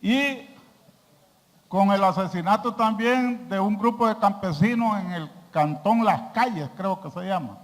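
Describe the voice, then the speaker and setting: A man from Honduras with a Honduran accent, putting a question from the audience in a deliberate, courteous manner. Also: sits high. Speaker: audience member asking a question. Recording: echoey hall.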